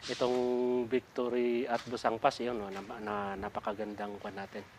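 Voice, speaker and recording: A man's voice: medium pitch, moderate pace, gravelly, a little hiss or hum. A middle-aged man with a Filipino accent talks casually outdoors for a vlog, reading out book titles with frequent fillers while the camera films him from a few meters away. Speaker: vlogger sorting donated library books. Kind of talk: casual vlog talk mixing English and Tagalog. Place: outdoors beside a building.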